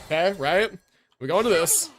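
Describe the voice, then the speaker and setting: A man livestreaming a video game with casual commentary, thinking out loud in slangy, sweary talk. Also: on the high side, lively intonation, brisk. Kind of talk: casual gaming stream commentary.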